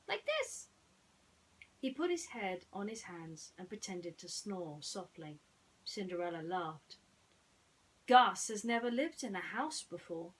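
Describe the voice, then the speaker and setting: A woman hosting and narrating bedtime stories in a gentle storytelling style. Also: on the low side, poor audio.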